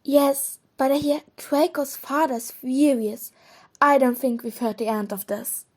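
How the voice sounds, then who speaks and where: A woman's voice: sits high, steady tone, measured pace, a little mellow. A woman playing an earnest, worried schoolgirl who speaks with urgent concern.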